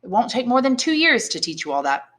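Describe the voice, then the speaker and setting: A woman reading the part of a learned old male prisoner, a priest, shrewd and kindly, in deliberate, probing phrases. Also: fairly high pitch, very animated, fairly bright tone, pitched above the performer's own voice. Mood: gentle, regretful. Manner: deliberate, probing questions and measured explanations.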